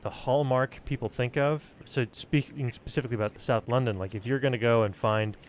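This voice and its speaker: A man's voice: medium pitch, fairly even intonation, a little fast, a little hiss or hum. A man hosting a podcast, chatting casually with the group. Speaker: podcast host.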